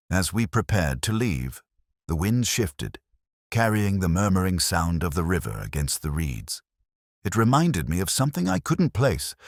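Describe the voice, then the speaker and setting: A man narrating a first-person detective story in a measured, literary and brooding manner. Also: very deep voice, dry recording, clean studio sound.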